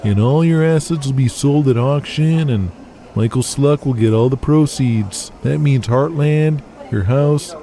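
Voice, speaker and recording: A man's voice: medium pitch, measured pace, dark tone, natural voice. A man playing a character from a 1980s pro-wrestling world in an audio drama. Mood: flippant. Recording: music behind the voice.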